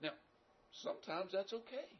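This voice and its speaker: A man's voice: high-pitched, expressive, moderate pace, husky. A man with a Southern American accent preaching a sermon in a folksy, conversational way with emphatic rises. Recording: dull lo-fi sound.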